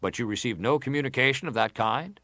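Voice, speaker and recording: Casting: actor for middle-aged male senator in hearing drama, medium pitch, probing and formal, deliberate delivery, close dry recording. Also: sharp tone, no room sound, lightly acted. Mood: probing, insistent. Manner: deliberate, formal, pressing questions. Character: probing, persistent senator questioning a witness. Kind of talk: dramatised reading of hearing interrogation.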